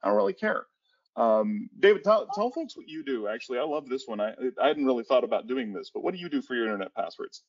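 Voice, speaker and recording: A man's voice: mid-range voice, expressive, fast. A man in his thirties presenting a cybersecurity webinar in a casual, rambling way, often restarting his sentences. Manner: rambling with frequent restarts and fillers. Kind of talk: casual conversational webinar talk. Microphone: headset on a video call. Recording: dull lo-fi webinar audio.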